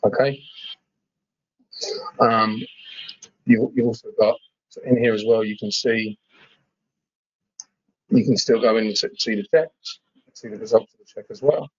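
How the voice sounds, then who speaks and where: A man giving an informal software walkthrough as a webinar presenter, hesitating and repeating words as he explains. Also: fairly low pitch, fairly even intonation, measured pace, pauses often, breathy edge, dry recording, lo-fi sound. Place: screen-share webinar voice-over.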